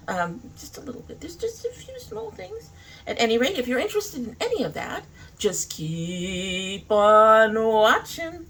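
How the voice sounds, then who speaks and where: A woman's voice: mid-range voice, gravelly, fairly bright tone, slight background noise, rough recording. A woman talking informally to camera as a beauty vlogger, thinking aloud in a casual makeup review.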